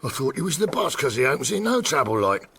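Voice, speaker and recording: A man's voice: medium pitch, very animated, fast talker, pushed and bright, husky, full character voice. An actor playing a nervous working-class cat burglar, telling his story hesitantly and colloquially in a period detective drama. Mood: earnest.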